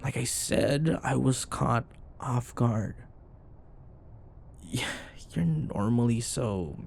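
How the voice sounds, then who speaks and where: A man acting a teasing, flustered young office rival in an intimate ASMR boyfriend roleplay, halting and sometimes dropping into breathy murmurs and sighs. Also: mid-range voice, mellow, exaggerated voice. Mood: defensive, exasperated.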